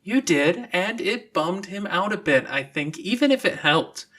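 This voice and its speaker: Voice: medium pitch, expressive, unhurried, a bit bright, voice pushed up. A narrator voicing a teasing, moody and blunt teenage girl in an audiobook. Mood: hurt, blunt.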